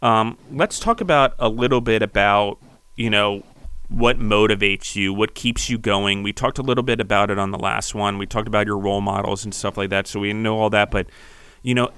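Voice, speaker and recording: A man hosting a podcast, asking rambling, fast-talking questions of his guest. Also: lowish voice, bright, forceful tone, clean tone. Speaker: podcast host. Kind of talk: casual interview questions.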